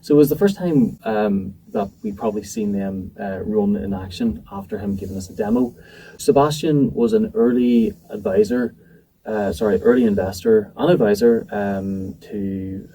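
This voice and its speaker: A man's voice: on the low side, warm tone. A man answering interview questions about a tech event in a casual, hesitant way, with many ums and restarts. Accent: not from New Zealand. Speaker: event organizer, interview guest. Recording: distant mic with faint noise.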